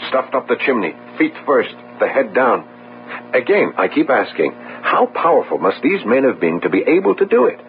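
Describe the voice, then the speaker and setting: A man's voice: medium pitch, big pitch swings, normal speed. An actor playing a big, earnest, deferential young French policeman in an old radio drama, polite and puzzled. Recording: tinny old radio broadcast, humming noise.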